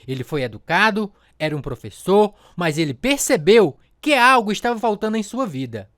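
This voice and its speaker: A man's voice: high voice, big pitch swings, moderate pace, a bit bright, no room sound, studio quality. A man acting a fervent evangelist in a radio drama, declaiming scripture emphatically and urging his listener. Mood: fervent, urgent. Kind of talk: dramatized sermon.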